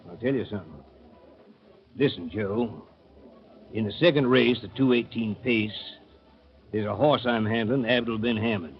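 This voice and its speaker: A man's voice: mid-range voice, lightly acted. A man playing a sly horse-trainer schemer. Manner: confiding, hushed. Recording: muffled 1930s radio with faint hiss.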